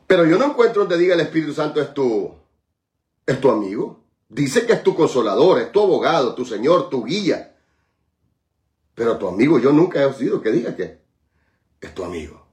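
A man preaching a sermon, calling on the congregation to repeat after him and slowing right down to stress scripture. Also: medium pitch, sing-song, a little mellow. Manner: preaching with call-and-response, dramatic slowed emphasis on scripture. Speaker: pastor.

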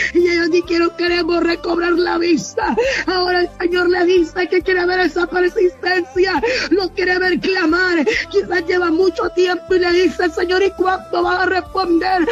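Really high-voiced guy crying and praying hard, yells out, slips into tongues, dull muffled sound w/ soft music under. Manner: weeping while praying, often crying out; breaks into speaking in tongues. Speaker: prayer leader. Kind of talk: fervent intercessory prayer. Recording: muffled recording with faint music. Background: faint music.

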